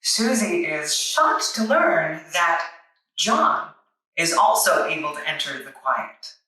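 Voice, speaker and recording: A woman's voice: lowish voice, sing-song, slow pace, bright, forceful tone. A woman narrating a scripted comic book review in a wry, tongue-in-cheek, playful way. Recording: echoey room, distant microphone.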